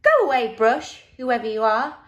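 A woman's voice: a little high, big pitch swings, normal speed, lo-fi sound. A woman voicing a grumpy, territorial crab in a storybook, bossy and dismissive. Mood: indignant. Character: grumpy, territorial crab guarding his shell.

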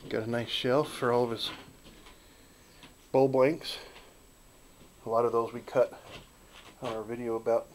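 A man giving a relaxed, folksy walk-through of a small backyard wooden workshop, filming on a handheld camera. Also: mid-range voice, a little slow, long pauses, slight background noise, poor audio. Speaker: channel host filming a shop tour. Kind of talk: casual narrated shop tour.

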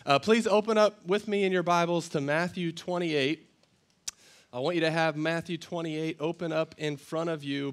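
A man in his thirties preaching from a church stage on a headset mic, his voice a little worn from a cold, talking conversationally and with emphatic repetition. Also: fairly high pitch. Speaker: pastor. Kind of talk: sermon.

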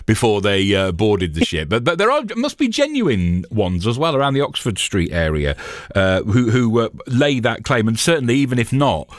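A man's voice: on the low side, very animated, normal speed, no room sound. A man presenting a local radio interview, chatty and full of fillers and restarts. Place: radio studio. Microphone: studio microphone.

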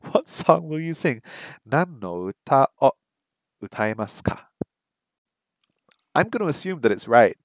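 Man, middle-aged, casual streamer chat, headset mic, dry close sound, slow repeated practice phrases. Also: a little high, very animated, no echo. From English first language, lives in Hong Kong. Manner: chatty, repeats Japanese phrases slowly to practise. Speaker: streamer learning Japanese. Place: small home room by a window.

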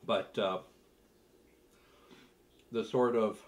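A middle-aged man with a fairly low voice talks casually through a food tasting. He is halting and thoughtful, often pausing between bites as he works out what to say. It sounds like a home cook hosting at his own kitchen table. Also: steady tone, lo-fi sound. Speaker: cooking video host.

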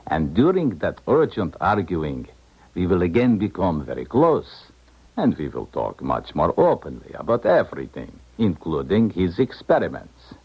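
An actor playing a cool, calculating Eastern Bloc scientist in a 1970s radio drama, formal and deliberate. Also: male voice, deep voice, wildly swooping pitch, husky, heavily acted. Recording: muffled old radio broadcast.

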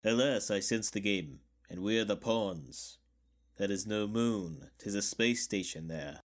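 An actor playing a wise old Jedi mentor, calm and solemn, in a measured Shakespearean dramatic reading. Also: male voice, lowish voice, natural voice. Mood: grave, foreboding. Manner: measured, archaic theatrical verse.

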